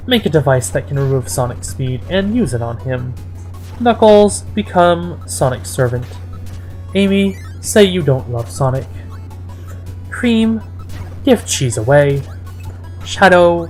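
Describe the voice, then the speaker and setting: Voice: mid-range voice, darkish tone. A person reading fan fiction and its reviews aloud in a mocking dramatic style, breaking off into exasperated asides.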